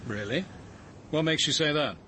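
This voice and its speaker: A man's voice: on the high side, moderate pace, sharp tone, a little hiss or hum. An actor playing a composed, thoughtful male detective in a radio drama, articulate and precise in his speech. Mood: composed, reasoning. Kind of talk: acted British radio drama dialogue.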